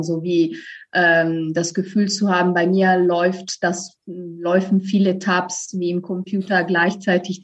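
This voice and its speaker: Dull-sounding recording of a woman guest. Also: fairly low pitch. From Italy. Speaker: podcast guest, voice trainer. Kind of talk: reflective conversational interview.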